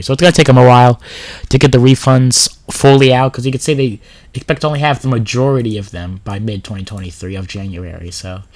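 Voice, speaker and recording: Man, sick, sore throat, stuffy, casual gaming commentary, rambling, reading aloud, desk mic. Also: mid-range voice. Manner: rambling, with filler words, laughs and restarts. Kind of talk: casual screen-share commentary, reading a blog post aloud.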